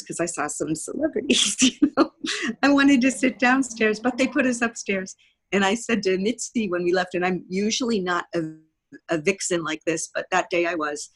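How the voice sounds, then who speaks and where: Woman, podcast guest, slightly husky voice, chatty, casual storytelling, medium pitch. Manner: chatty, anecdotal, acts out quoted remarks. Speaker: podcast guest, theatre producer. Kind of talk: animated storytelling in casual interview.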